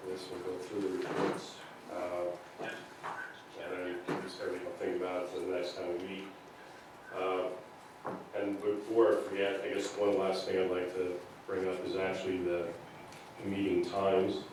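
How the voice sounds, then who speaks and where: A man's voice: low-pitched, monotone. A man speaking hesitantly as he chairs a public meeting in an informal, procedural way. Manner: hesitant, halting delivery. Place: indoor public meeting room. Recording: distant mic, very echoey noisy meeting room.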